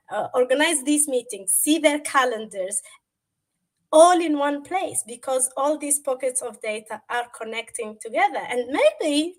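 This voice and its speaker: A woman whose first language is Arabic, explaining a tech topic on a livestream in a conversational way, with everyday examples and a lot of fillers. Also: sits high. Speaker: guest presenter.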